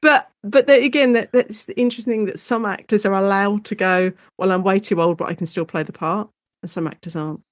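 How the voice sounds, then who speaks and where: A woman guest academic explaining her subject in a conversational interview, chatty and animated, thinking aloud as she goes. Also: medium pitch, brisk, hardly any pauses, no room sound, clean studio sound.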